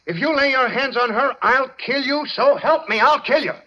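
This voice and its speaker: An actor playing an earnest, excitable, protective husband in a classic suspense radio drama. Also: male voice, extremely high pitch, moderate pace, exaggerated voice. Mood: frantic, angry. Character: anxious, protective young husband, male. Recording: dull lo-fi old radio recording.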